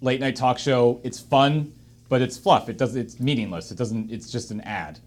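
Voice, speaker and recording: A man hosting a casual unscripted discussion, speaking haltingly and emphatically and repeating phrases for stress. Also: mid-range voice. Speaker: host of a panel discussion. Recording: clear room noise behind.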